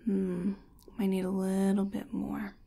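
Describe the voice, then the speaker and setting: Slow, whispering woman in soft ASMR roleplay. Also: mid-range voice, completely flat delivery. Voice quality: whispery, breathy. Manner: slow, gentle, soothing murmur. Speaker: ASMR roleplayer as energy healing practitioner. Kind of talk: soft-spoken ASMR personal attention roleplay.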